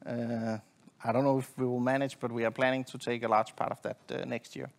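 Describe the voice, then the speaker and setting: A middle-aged man giving a technical presentation from a conference stage in a halting, hesitant way. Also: medium pitch, flat delivery, creaky. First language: not Swedish. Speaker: conference presenter, network engineer. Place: conference stage at a lectern, indoors.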